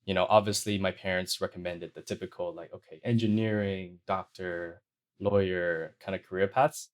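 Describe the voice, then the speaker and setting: A young man who grew up in China, talking about his career as a guest on a podcast in a measured, thoughtful way. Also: low-pitched, fairly even intonation. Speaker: guest, sound designer. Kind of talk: interview answers.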